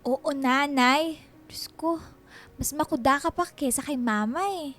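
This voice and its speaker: A woman's voice: high-pitched, measured pace, fairly bright tone. A woman acting a defiant, hard-headed schoolgirl younger sister in a Filipino radio drama, curt and sassy. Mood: irritated, defiant.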